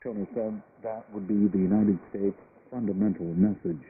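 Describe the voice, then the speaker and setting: A male newsreader delivers scripted news in a measured broadcast style. His voice comes through a narrow, tinny shortwave radio signal, with clear noise and static throughout. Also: fairly low pitch, fairly even intonation. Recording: noisy, band-limited shortwave radio broadcast. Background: loud shortwave static and noise.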